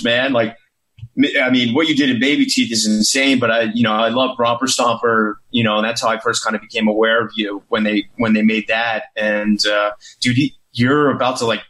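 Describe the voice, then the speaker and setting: A man's voice: medium pitch, pushed and bright. A man hosting a casual interview, enthusiastic and slangy, calling his guest dude and man. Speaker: podcast host.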